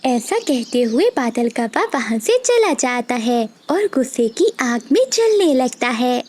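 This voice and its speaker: A woman's voice: sits high, sing-song, a little slow, darkish tone. A woman reading a scripted children's story as the narrator.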